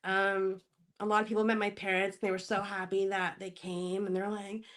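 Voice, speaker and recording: A woman from Spokane, Washington, speaking as a podcast guest in casual interview chat, laughing often and pausing to search for words. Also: medium pitch, flat delivery, fairly bright tone.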